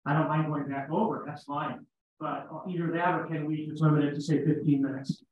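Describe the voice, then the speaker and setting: A man debates formally as a council member, insistent and halting, in an even tone. He sounds distant in a very reverberant chamber, with some noise in a slightly dull recording. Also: on the high side. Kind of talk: formal debate at a public meeting. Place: large indoor council chamber, room microphones. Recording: very echoey council room, distant mic, noisy.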